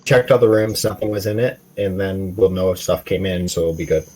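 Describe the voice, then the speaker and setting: A man with a deep, rough-edged voice talks quickly. He is a player in a tabletop game, casually laying out plans to the group. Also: a little mellow. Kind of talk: casual game planning chat.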